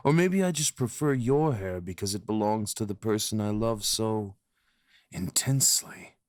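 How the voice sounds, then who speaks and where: A man playing a devoted, possessive fox spirit husband in an intimate ASMR roleplay, rambling softly and tenderly with murmured endearments. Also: on the low side, moderate pace, no echo, clean studio sound, natural voice. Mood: doting, playful.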